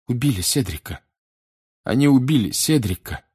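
A man plays a frightened teenage wizard boy in an audiobook. The voice is pushed high and loud above the reader's own, urgent and halting, with agitated exclamations. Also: lively intonation, slightly rough. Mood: shaken. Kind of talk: audiobook character acting.

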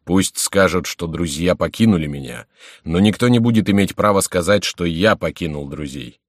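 A man's voice: deep voice, normal speed, no room sound, clean studio sound. A man voicing a concerned, dignified king in an audiobook, with a grand, questioning manner. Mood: resolute. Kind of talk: audiobook character voice.

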